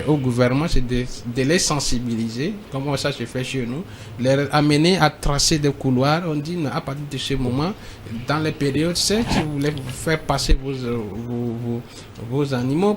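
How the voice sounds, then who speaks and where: A man speaking as a debate panelist, halting and repeating himself but emphatic. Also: medium pitch, a little hiss or hum. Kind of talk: debate commentary.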